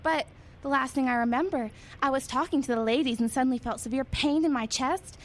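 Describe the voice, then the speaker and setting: A woman's voice: high voice, fairly quick, slight background noise. A woman playing a bewildered, easily embarrassed churchgoer in a radio drama.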